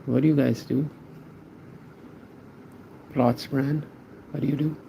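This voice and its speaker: A man's voice: medium pitch, fairly even intonation, takes it slowly, vocal fry, mellow, a little hiss or hum, rough recording. A man from Pakistan, chatting casually with viewers while he draws on a livestream, answering their comments in a laid-back way. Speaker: art livestreamer.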